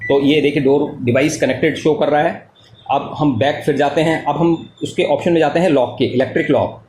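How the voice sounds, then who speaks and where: Echoey, higher-voiced Indian man demonstrating a product. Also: steady tone, fairly quick, darkish tone. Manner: step-by-step, repeats key points. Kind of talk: explanatory product tutorial. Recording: echoey room with clear background noise.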